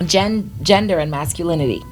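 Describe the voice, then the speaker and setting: A woman's voice: lowish voice, a little fast, darkish tone, faint music behind, low-quality recording. A woman from Guyana with a Guyanese accent, co-hosting a podcast in casual chat. She laughs often and restarts her phrases. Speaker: podcast co-host.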